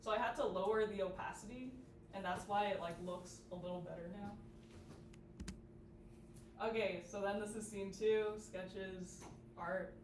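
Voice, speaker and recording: A woman's voice: mid-range voice, fairly even intonation, unhurried, long pauses. Young woman, casual workshop talk, chatty, echoey, noisy. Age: young adult. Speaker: student workshop presenter. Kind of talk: casual, informal presentation. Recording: echoey, noisy room, distant mic.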